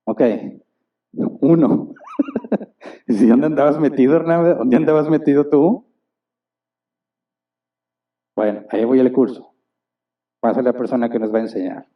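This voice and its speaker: A man's voice: medium pitch, normal speed, pauses often. A man preaching a sermon as a pastor, asking the congregation questions and acting out little exchanges. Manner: asks the congregation rhetorical questions; acts out little dialogues. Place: church hall. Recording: distant, dull church recording.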